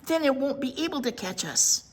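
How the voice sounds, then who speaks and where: A woman's voice: high-pitched, sing-song, normal speed, husky, pitched above the performer's own voice, exaggerated voice. A woman voicing a determined young schoolgirl who plays at being a fairy princess, boastful and insistent, in a storybook reading. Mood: confident.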